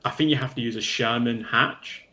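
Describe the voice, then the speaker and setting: A man's voice: medium pitch, fairly even intonation, fairly quick, sharp tone. A man talking casually in a livestream panel discussion, going into detail in a pedantic way. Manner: detailed, self-confessedly pedantic.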